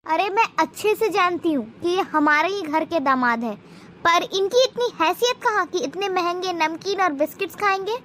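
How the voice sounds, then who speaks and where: A woman playing a snobbish middle-aged mother-in-law in an animated moral story, with a condescending, taunting manner. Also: extremely high pitch, moderate pace, a little hiss or hum. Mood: scornful.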